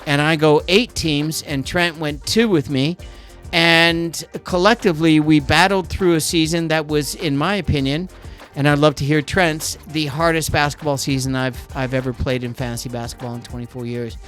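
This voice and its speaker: A middle-aged Canadian man hosts a podcast in a casual, rambling way, wandering off on tangents. His voice sits a little higher than most men's, and soft music plays faintly behind him. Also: steady tone. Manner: rambling, digressive, quick to go off on tangents. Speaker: podcast host. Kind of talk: casual chat.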